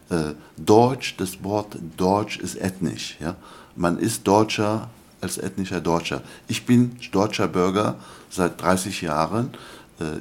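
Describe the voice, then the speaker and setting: An older man, a scholar answering interview questions in an earnest, lecturing way, often halting with fillers. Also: low voice, gravelly. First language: Arabic. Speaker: guest scholar.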